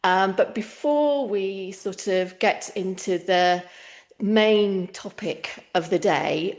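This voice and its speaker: A woman hosting a podcast, speaking gently with frequent ums and soft pauses. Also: mid-range voice. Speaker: podcast host. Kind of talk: podcast interview.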